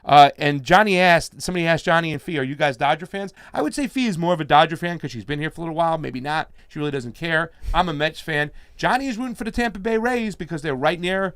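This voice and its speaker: A man's voice: a little high, fast, few pauses, fairly bright tone, clean tone, no echo, studio quality. A man hosting a live political commentary stream, chatting casually and reading out viewer comments. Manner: chatty, reads viewer chat aloud. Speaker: host.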